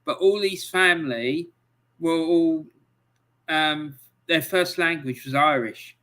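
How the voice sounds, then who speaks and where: Man hosting a podcast, chatty and easygoing, bright voice, fairly high pitch. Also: slow pace. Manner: chatty, laughs often, filler-heavy. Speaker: podcast host. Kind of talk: casual interview, reading viewer comments.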